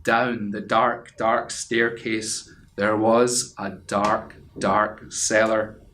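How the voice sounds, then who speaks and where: A man reading a children's picture book aloud as a teacher would, expressive and suspenseful, drawing out repeated phrases. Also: lowish voice. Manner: theatrical, suspenseful repetition with drawn-out phrases. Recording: clear background noise.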